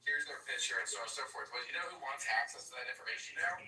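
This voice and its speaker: A man's voice: medium pitch, rapid-fire, bright, forceful tone. A man in a heated group discussion, talking bluntly and insistently. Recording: echoey room, far from mic, faint noise.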